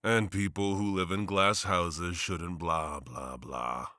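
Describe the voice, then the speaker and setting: A man's voice: sits low, steady tone, slow pace, sharp tone, no room sound, studio quality, heavily acted. A man playing a driven, bitter district attorney who speaks sardonically and heatedly. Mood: sardonic.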